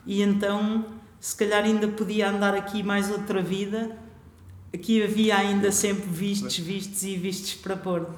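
A woman with a European Portuguese accent speaks in a reflective, unhurried way, pausing to gather her thoughts as she talks. Her voice sits in the middle of the female range. The recording carries a roomy echo with a long reverb tail. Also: medium pitch, flat delivery, darkish tone. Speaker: guest, cycling traveller. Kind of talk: casual interview chat. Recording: echoey room with long reverb.

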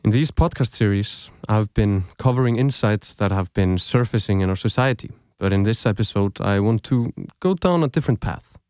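The host you would hear in earbuds on a thoughtful podcast: a young Icelandic man, native in Icelandic, telling his story at a measured pace in a dry, echo-free booth. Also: on the low side, animated, a little mellow, clear, resonant tone. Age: young adult. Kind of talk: scripted podcast narration.

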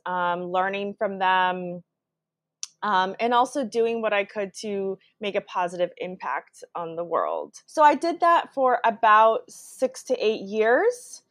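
A woman in her thirties answers interview questions at a measured pace, pausing often and restarting her thoughts. Her voice sits at a middle pitch. The recording is dry, with no room sound. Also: no room sound. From Brazil, raised in the US. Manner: thoughtful, frequent ums and restarts. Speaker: guest.

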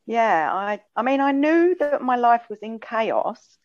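A woman guest on a podcast sharing her personal story. She speaks softly and hesitantly, with frequent ums. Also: fairly high pitch, sing-song, takes it slowly, dry recording, dull audio.

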